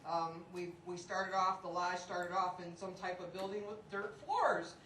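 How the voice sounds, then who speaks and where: A woman's voice: fairly low pitch, measured pace, fairly bright tone, a little hiss or hum. A woman telling the history of her club at a meeting, repeating words as she goes. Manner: repeats herself, plain storytelling. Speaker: club member reporting. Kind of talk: casual spoken report. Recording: roomy hall, distant mic.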